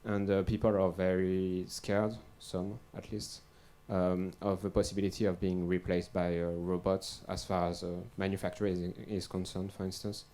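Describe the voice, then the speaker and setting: Young male researcher on a panel, low and even delivery, hesitant. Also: moderate pace, few pauses, a little hiss or hum. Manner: hesitant, many fillers and restarts. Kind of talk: panel discussion answer.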